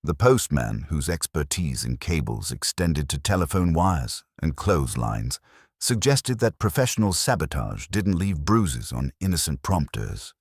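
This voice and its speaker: Really low guy reading a whodunit, dry irony, voice swings up and down, close dry sound. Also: sing-song, breathy edge, no echo. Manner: literary, measured, dryly ironic. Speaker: narrator, audiobook storyteller. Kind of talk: scripted narration of a wry mystery story.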